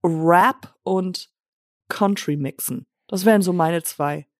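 A woman's voice: lowish voice, slow, dry recording, clean studio sound. A young woman from Germany co-hosting a podcast, chatting loosely and rambling, with English phrases mixed in. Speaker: podcast co-host. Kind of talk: casual chat.

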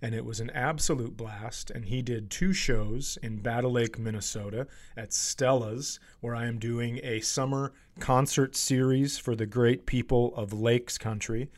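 A man hosting a comedy podcast, telling casual, profane stories in an animated way and acting out the quotes. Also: mid-range voice, unhurried, hardly any pauses. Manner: animated, profane, acts out quotes in his anecdotes.

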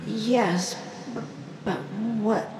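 A woman playing a frightened mother lost in dark woods in a horror audio drama, breathless, halting and whimpering. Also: mid-range voice, steady tone, voice pushed up. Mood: timid, fearful. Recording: echoey, noisy ambience.

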